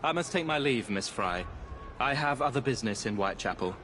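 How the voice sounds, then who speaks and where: An English actor playing a grave, weary Victorian police inspector in a video game, speaking formally and deliberately. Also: male voice, medium pitch, animated, slight background noise, close to an everyday voice. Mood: resigned. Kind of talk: video game drama acting. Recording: loud game music and street sounds behind.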